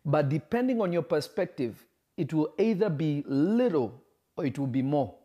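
A man preaching a livestreamed sermon, speaking emphatically, repeating phrases and slowing for stress, and greeting viewers by name. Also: a little high, animated, mellow, lo-fi sound. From Kenya.

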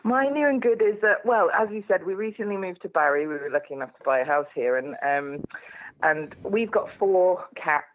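A woman's voice: fairly low pitch, expressive, moderate pace, narrow, tinny audio. A woman guest on a casual phone chat, bubbly and playful, often breaking into laughter.

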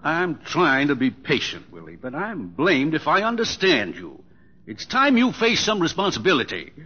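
A man's voice: fairly high pitch, huge pitch swings, normal speed, sharp tone, husky, full character voice. An actor playing a proud, exasperated middle-aged rancher father in an old radio drama, brusque and lecturing. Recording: dull old radio recording.

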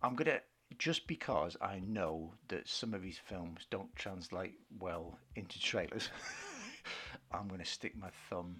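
A man co-hosting a podcast, chatting casually about film trailers in a husky voice, rambling and searching for words. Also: low-pitched, animated, unhurried, bright, forceful tone. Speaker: podcast co-host.

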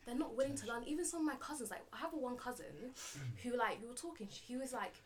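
A woman's voice: fairly high pitch, quick pace, slight background noise. A young woman in a casual podcast discussion, chatty and full of fillers. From England. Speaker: podcast panelist.

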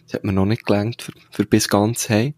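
A man with a Swiss German accent and a fairly low voice, recorded very dry. He chats as a podcast co-host in a colloquial, anecdotal way, dropping in slang and tag questions. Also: level tone, fairly quick, a little mellow, dry recording. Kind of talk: casual chat.

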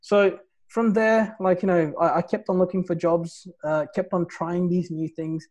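Guy from nepal, 30s, podcast guest, fairly high voice, lots of "right?" and "you know". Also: fairly even intonation, unhurried, darkish tone. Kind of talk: casual interview, telling his life story.

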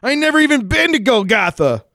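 Man playing a high-pitched paranoid stoner slacker. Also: big pitch swings, brisk, sharp tone, no room sound, studio quality, full character voice. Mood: defensive, indignant. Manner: stoner drawl peppered with "man". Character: paranoid, defensive slacker traveler in ancient Judea. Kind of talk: comic 1940s-style radio play acting.

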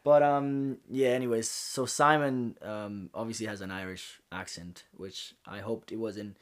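A man's voice: lowish voice, fairly even intonation, unhurried. Spanish-accented guy hosting a podcast, easygoing, laughs a lot. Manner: laughs often, casual, hesitant fillers. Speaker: podcast host. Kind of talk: casual chat.

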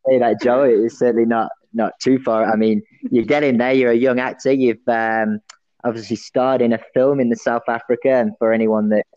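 A man's voice: medium pitch, flat delivery, moderate pace, darkish tone, no echo, lo-fi sound. A man interviewing a guest in a friendly, encouraging way who laughs easily. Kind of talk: casual interview.

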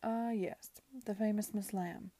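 A woman's voice: mid-range voice, steady tone, unhurried, warm tone, no room sound, close to an everyday voice. Woman voicing a mockingly genteel male gambling-den villain. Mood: sneering. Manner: affected politeness, making a show of affront. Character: smooth, mockingly genteel male gambling-house owner, low-born villain.

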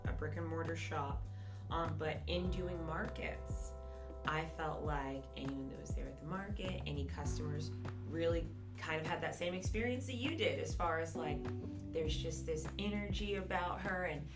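A woman with a low-pitched voice talks in a chatty, upbeat way, a guest from Florida sharing her story. Her voice sounds roomy and echoey, with clear music playing underneath. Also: normal speed. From Jacksonville, Florida, US. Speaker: guest, candle business owner. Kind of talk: casual conversational interview.

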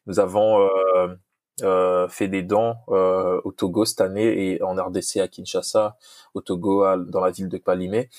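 A man's voice: low voice, flat delivery, moderate pace, darkish tone, no echo. A man from Congo, a football coach and podcast guest, talking earnestly with many restarts and fillers, Lingala his first language. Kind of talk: casual podcast interview.

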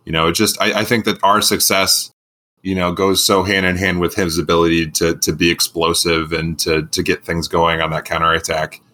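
A man who is a middle school teacher with a booming voice, co-hosting a casual sports podcast in an animated way. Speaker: podcast co-host.

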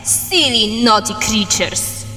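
Parody superheroine, played by a woman: fierce, sarcastic, very animated, heard in a reverberant hall. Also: fairly high pitch, takes it slowly, faint music behind, heavily acted. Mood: fierce, scornful. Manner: bossy, sarcastic, brash. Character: fierce, sassy, scornful female superhero. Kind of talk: superhero parody audio drama.

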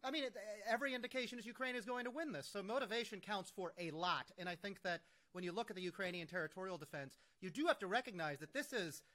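A man's voice: sits high, quick pace, pushed and bright. A man from the United States hosting an interview, emphatic and eager, often restarting his sentences. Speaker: interviewer, host. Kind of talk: conversational interview.

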